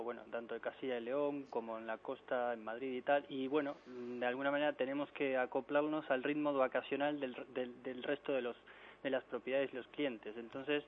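A man from Argentina with a mixed Argentine and Spanish accent, an architect answering interview questions by phone and talking haltingly, with many fillers and restarts. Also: mid-range voice. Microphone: telephone. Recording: tinny phone line with faint hiss.

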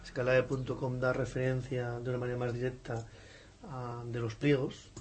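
A man's voice: medium pitch, flat delivery, moderate pace, a little mellow. A man hosting a podcast interview, talking in a digressive, hesitant way with many fillers. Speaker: podcast host. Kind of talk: conversational interview. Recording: faint background noise.

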